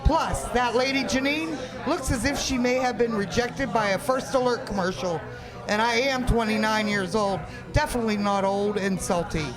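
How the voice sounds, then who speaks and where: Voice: mid-range voice, moderate pace, crowd noise, close to an everyday voice. A performer playing a savvy, sassy waitress sleuth in an amateur comic radio play, blunt and matter-of-fact.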